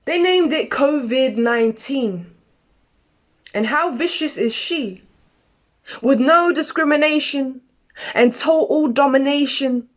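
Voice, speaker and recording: A woman giving a dramatic recital of a poem. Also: high-pitched. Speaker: poetry performer.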